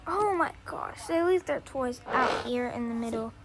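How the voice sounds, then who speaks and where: A woman's voice: a little high, lively intonation, a little slow. A woman improvising a pretend-play story, talking through each small action, with faint noise and clicks behind. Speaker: creator voicing all characters of a toy-app role-play story.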